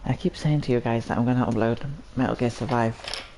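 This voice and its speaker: A man with a cold, hoarse and phlegmy, rambling through casual gameplay commentary and clearing his throat now and then. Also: mid-range voice, fairly even intonation, brisk, darkish tone, a little hiss or hum. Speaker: gaming channel host. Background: video game music.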